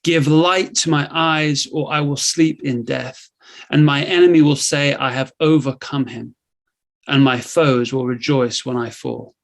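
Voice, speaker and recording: A man giving a solemn, gentle and measured reading of a Bible psalm. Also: on the high side, steady tone. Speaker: scripture reader.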